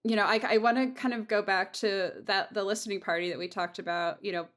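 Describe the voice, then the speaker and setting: A woman's voice: medium pitch, steady tone, fast talker, fairly bright tone. A woman hosting a podcast conversation in a casual, hesitant and rambling way with a giggly streak. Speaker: audio drama creator and host.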